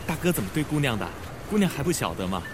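Man acting a lively, eager young bandit underling. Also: mid-range voice, fast, music in the background, natural voice. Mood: confiding. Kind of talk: audio drama acting in Mandarin.

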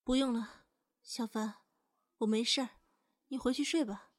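High-voiced gentle young woman, grieving acted by a woman. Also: steady tone, moderate pace, close to an everyday voice. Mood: soft, caring. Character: gentle young woman haunted by grief for lost love. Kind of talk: Chinese audio drama acting. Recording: guitar music behind.

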